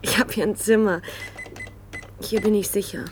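A woman's voice: medium pitch, steady tone, normal speed, a little hiss or hum, lightly acted. A woman playing a timid but stubborn newly homeless widow in a German crime radio drama, hesitant and guarded. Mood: wary.

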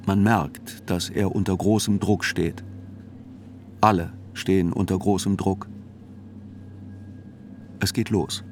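A man's voice: sits low, steady tone, slow, long pauses, slight background noise. An actor playing a sober, reflective male reporter who narrates a radio drama in literary reportage style.

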